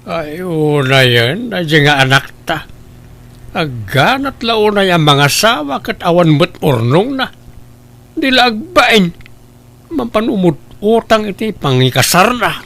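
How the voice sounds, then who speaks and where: A man's voice: high-pitched, big pitch swings, takes it slowly, creaky, fairly bright tone, exaggerated voice. Man playing a blunt, grumbling older father. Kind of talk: Ilocano comedy radio-style drama acting.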